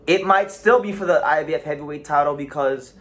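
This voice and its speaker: A man hosting a casual boxing talk show, talking in an animated way with frequent restarts and repeated words. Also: on the high side, fairly quick. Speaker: boxing channel host.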